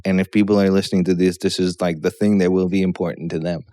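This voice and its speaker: Polish-born man in his thirties, low and smooth, dry close sound, casual rambling storyteller who keeps asking "yeah?". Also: level tone, quick pace, dark tone, no room sound. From Poland. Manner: rambling, frequent "yeah?" check-ins. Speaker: guest comedian.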